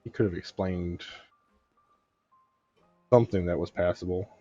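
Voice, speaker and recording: A man's voice: low-pitched, fairly even intonation, unhurried, warm tone, no echo, muffled recording. A man giving casual live commentary as he streams a video game. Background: game music.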